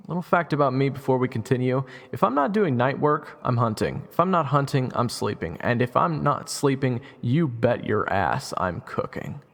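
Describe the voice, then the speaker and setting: A man's voice: medium pitch, lively intonation, normal speed, gravelly, few pauses. A man in his twenties playing an easygoing, wry young man who narrates his own story in an audio drama. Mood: relaxed, wry. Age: 20s. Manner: casual, conversational, wry. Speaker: narrator and lead character. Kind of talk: first-person audio drama narration and dialogue. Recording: music bed behind voice.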